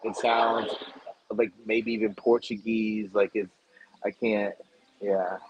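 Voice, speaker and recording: A man's voice: fairly low pitch, flat delivery, slow, highs cut off, noisy background. A man hosting a casual conversation with a stranger outdoors at the beach, rambling, restarting his sentences and laughing often. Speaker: host interviewing a stranger.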